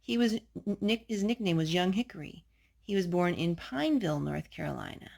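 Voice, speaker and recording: A woman with a slightly husky voice reading a children's picture book aloud as a storytime host. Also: fairly low pitch, expressive, fairly quick, darkish tone.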